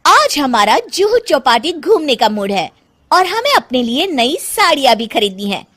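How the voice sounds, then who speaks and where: A woman voicing a haughty young wife who's sure she's royalty in a cartoon story, high voice that jumps all over, bossy and commanding. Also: big pitch swings, normal speed, bright, forceful tone, no echo, clean studio sound, heavily acted. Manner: commanding, imperious, uses the royal we. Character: spoiled young wife who thinks she is a princess, bossy and haughty. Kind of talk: Hindi cartoon story voice acting.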